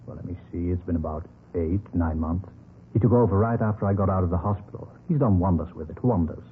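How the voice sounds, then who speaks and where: Gentle, stubborn old businessman played by a male actor, low-voiced and hesitant, for a vintage radio crime drama on a tinny recording. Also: fast talker, slight background noise, natural voice. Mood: mild, admiring. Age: older. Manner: hesitant, mild, repeats himself.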